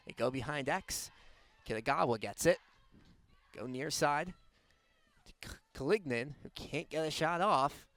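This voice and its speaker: A man's voice: on the high side, measured pace, pauses often, a bit bright, dry recording. A young man doing live radio play-by-play sports commentary in a clipped, descriptive way. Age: young adult. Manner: clipped, descriptive call of the action. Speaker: play-by-play announcer.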